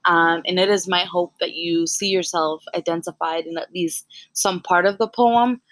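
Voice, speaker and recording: A young woman hosting a podcast, softly introducing and then reciting her own spoken word poem in a measured way. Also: on the low side, steady tone, no room sound. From United States. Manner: soft-spoken, frequent ums, measured poetic delivery. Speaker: podcast host.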